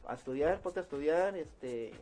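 A man's voice: on the high side, animated, normal speed, warm tone. A man, chatting casually as an interview guest. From Mexico. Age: 20s. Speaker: interview guest, circus clown.

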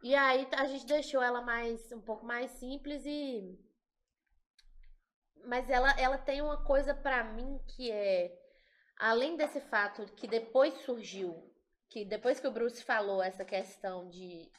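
A woman from Brazil talks about writing a song in a casual, chatty way. She pauses and hunts for the right words. Her voice sits slightly high. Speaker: songwriter, band member. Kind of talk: casual podcast interview.

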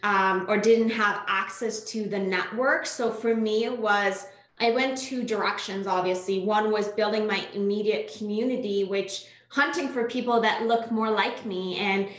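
A woman's voice: mid-range voice, steady tone, measured pace, fairly bright tone. A woman in her thirties, born in Iran with Farsi as her first language, speaking thoughtfully as a podcast guest with many fillers and restarts. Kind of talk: conversational interview. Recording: echoey room.

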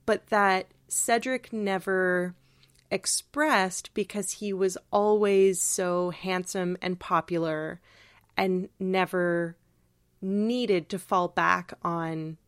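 A woman's voice: on the low side, steady tone, slow, clean tone, no room sound, clean studio sound. A woman co-hosting a podcast in casual analytical discussion, emphatic and reflective, sprinkling in "like" as she thinks aloud. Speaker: podcast co-host.

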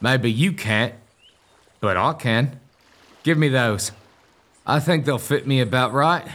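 A scheming, confident young suitor acted by a man in a comic gothic audio drama. He speaks in a middling pitch, smooth-talking and brisk as he gives orders. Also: a bit bright. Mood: sly, commanding.

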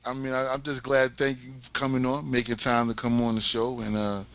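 A man co-hosting a casual show, speaking in a low-key, hesitant way. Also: level tone, a little fast, muffled recording, a crowd in the background. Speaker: show co-host. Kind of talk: casual chat.

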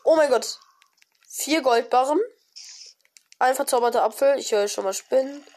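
A woman with a cold, giving casual, excited commentary on her own gameplay with many fillers and exclamations. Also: sits high, normal speed. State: has a cold. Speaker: gaming video creator. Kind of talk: casual let's-play commentary.

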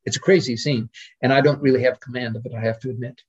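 A man speaking as a guest scholar in an informal academic discussion, in a hesitant, digressive manner with a husky voice. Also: mid-range voice, fairly even intonation, fast, muffled recording.

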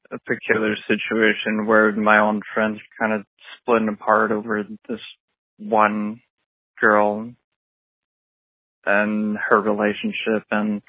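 A man leaves a measured, deliberate voicemail about a problem among friends. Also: fairly low pitch, dry recording. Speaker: caller leaving a voicemail. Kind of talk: personal voicemail telling a story. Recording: tinny phone line.

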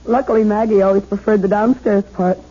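An actress playing a chatty, high-strung young newlywed bride in an emotive, theatrical radio mystery style. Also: female voice, fairly even intonation, a little fast, dark tone, slightly rough, lightly acted. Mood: affectionate chatter. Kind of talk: 1970s radio mystery acting. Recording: dull, lo-fi old radio recording.

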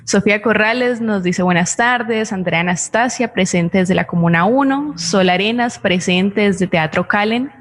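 Colombian woman announcing, mid-pitched voice. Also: measured pace, hardly any pauses. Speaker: co-host reading audience greetings. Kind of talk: live broadcast announcing.

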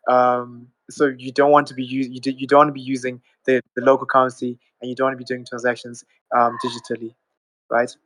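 A man talks in a steady, flat tone, earnestly walking through his points one at a time. His voice sounds dry and close, with little room around it, and sits in a middle register. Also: medium pitch, fast talker, dry recording, rough recording. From Zimbabwe. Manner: earnest, explaining step by step. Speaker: audience speaker. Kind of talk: casual live audio chat.

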